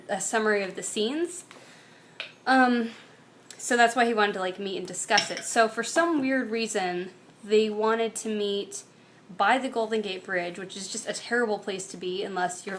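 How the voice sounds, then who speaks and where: A woman's voice: medium pitch, fairly bright tone. Casual American woman vlogger with background noise. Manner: self-deprecating, informal. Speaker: actress. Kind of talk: casual diary-style chat. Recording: clear background noise, room ambience.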